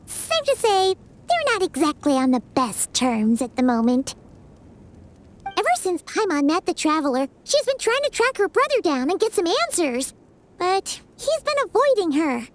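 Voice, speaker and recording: A woman voicing a tiny, childlike female fairy companion in a video game, squeaky, chatty and inquisitive. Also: sing-song, normal speed. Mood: matter-of-fact. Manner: exclamatory, refers to herself by name. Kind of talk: animated video game voice acting.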